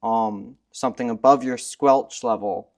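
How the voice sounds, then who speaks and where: A man co-presenting a demonstration, explaining technical details casually in a measured, matter-of-fact manner. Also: mid-range voice, fairly even intonation, darkish tone.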